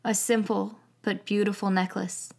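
A woman's voice: medium pitch, level tone, unhurried, vocal fry, darkish tone. A woman playing a wry, chatty young radio broadcaster in an audio drama. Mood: wistful, somber. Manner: wry, playful, wordy.

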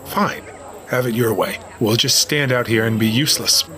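An actor playing a cynical, stern police officer in an audio drama, dry and skeptical. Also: male voice, medium pitch, normal speed, fairly bright tone, lightly acted. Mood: impatient, scornful. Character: cynical, stern male police officer, self-styled realist. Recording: crowd noise behind. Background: crowd.

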